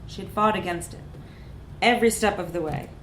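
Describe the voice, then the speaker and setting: A woman reading a comic short story aloud in a live literary reading, with expressive, dramatic emphasis. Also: medium pitch, brisk. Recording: echoey room with background noise.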